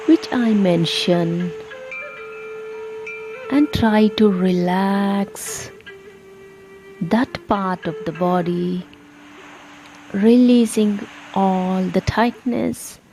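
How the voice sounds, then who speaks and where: A woman leading a guided relaxation meditation, giving soothing, gentle instructions with long pauses between phrases. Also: mid-range voice, gravelly, low-quality recording. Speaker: yoga meditation guide and channel host. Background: soft instrumental music.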